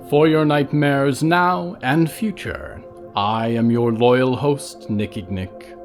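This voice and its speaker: An actor playing an eerie, courtly monster who hosts nightmares, narrating a horror audio drama in a formal, theatrical manner. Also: male voice, lowish voice.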